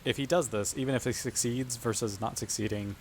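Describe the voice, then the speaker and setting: A man's voice: medium pitch, fairly even intonation, fairly quick, a little hiss or hum. A man playing a tabletop game, talking casually with the others at the table.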